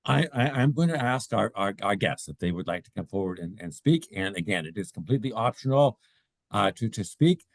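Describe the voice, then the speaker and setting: A man with a low, gravelly voice moderates an online meeting, hesitating often and restarting his words. His voice sounds dry and close on a video call. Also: expressive, brisk, no room sound. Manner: hesitant, many stammered restarts.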